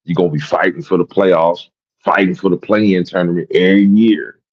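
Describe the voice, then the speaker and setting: A man's voice: sits low, steady tone, moderate pace, highs cut off. A man hosting a sports talk show, rambling and repeating himself in casual, profane commentary. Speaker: sports podcast host.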